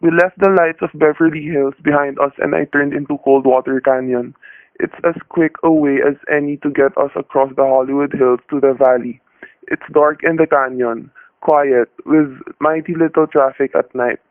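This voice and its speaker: A man acting as a middle-aged everyman husband in a vintage radio thriller. His voice sits a little high for a man and he talks in plain, folksy, world-weary phrases. The recording is dry and narrow, like an old broadcast. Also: steady tone, normal speed, no room sound, lightly acted. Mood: tense narration. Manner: folksy, colloquial. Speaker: narrator and lead character. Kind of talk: old-time radio suspense acting, first-person narration.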